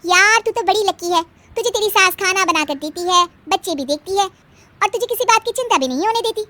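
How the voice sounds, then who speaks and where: Woman voicing a high, chatty, envious office friend. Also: animated, brisk, pushed and bright, heavily acted. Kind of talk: animated family drama storytelling.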